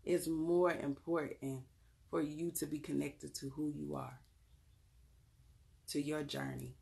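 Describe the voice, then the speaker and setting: Gravelly Southern woman on a livestream. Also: low voice, level tone, takes it slowly, darkish tone. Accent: Southern US, North Carolina. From Charlotte, North Carolina. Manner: emphatic, motivational, blunt with casual cussing. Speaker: livestream host and tarot reader. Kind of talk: casual livestream talk, answering chat messages.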